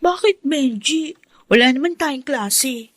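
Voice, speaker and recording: A woman's voice: sits high, expressive, takes it slowly, no room sound, clean studio sound. An actress playing a curious, friendly teenage schoolgirl in a radio drama. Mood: curious, puzzled.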